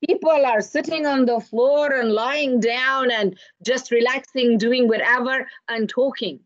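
A middle-aged woman from Egypt, giving an expressive, explanatory online lecture with anecdotes over a video call. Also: on the high side, unhurried, no echo. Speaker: lecturer presenting to teachers. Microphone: video call, computer microphone. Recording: dull, lo-fi video call audio.

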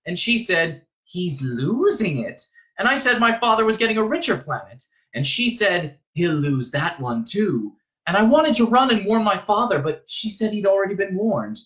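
A man's voice: high-pitched, big pitch swings, moderate pace, fairly bright tone, pitched above the performer's own voice, rough recording. A man voicing an earnest, troubled teenage boy of noble birth, questioning and a little hesitant. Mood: upset.

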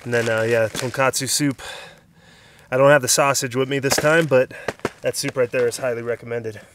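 A man's voice: mid-range voice, fairly even intonation, normal speed, noisy background. A man in his thirties vlogging casually outdoors on a mountain summit after a hard hike, tired, chatty and laughing often, recorded on a handheld camera at arm's length. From Southern California. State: exhausted after a hard climb. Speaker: hiking vlogger. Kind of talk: casual vlog talk to camera.

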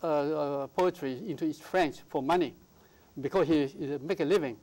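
An older man whose first language is Mandarin, a poet guest in a TV studio interview, talking in a halting way with many fillers and restarts on a lapel mic. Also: a little high, a little mellow. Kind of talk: interview conversation. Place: TV studio, seated.

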